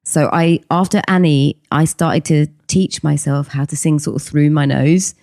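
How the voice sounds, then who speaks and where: A woman's voice: low-pitched, fairly even intonation, normal speed, darkish tone, no room sound, clean studio sound. A middle-aged woman, chatting as a podcast guest, hesitant with many ums. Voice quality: husky voice. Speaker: podcast guest, actress and singer. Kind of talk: casual interview chat.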